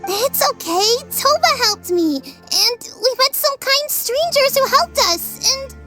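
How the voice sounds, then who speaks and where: A woman's voice: very high-pitched, lively intonation, slow, loud music behind the voice, full character voice. A woman voicing a cheeky, earnest small child with a bold, plain-spoken manner in a video game. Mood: defiant.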